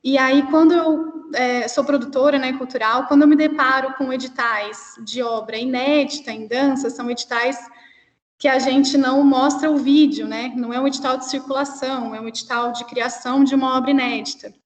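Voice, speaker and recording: A woman's voice: sits high, steady tone, normal speed, echoey room, in a large hall. A woman with a countryside São Paulo accent, a cultural producer asking a question on a video call, hesitant and thinking aloud with frequent tag questions. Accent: São Paulo countryside (caipira) Brazilian Portuguese. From interior of São Paulo state, Brazil. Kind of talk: online panel question.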